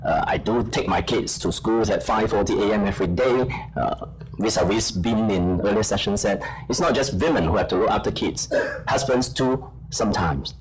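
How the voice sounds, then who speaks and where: A middle-aged man, a native Chinese speaker born in China, speaking as a journal editor on a conference panel, brisk and self-deprecating with frequent fillers. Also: lowish voice, over music. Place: conference hall. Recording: audience noise in a conference hall.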